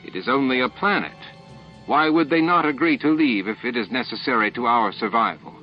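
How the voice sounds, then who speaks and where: Man acting a smooth, condescending alien hive leader. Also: medium pitch, a little fast, highs cut off, soft background music, pitched above the performer's own voice, lightly acted. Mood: puzzled, smug. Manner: smooth, formal, self-assured. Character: calm, condescending male alien hive leader, reasonable and baffled.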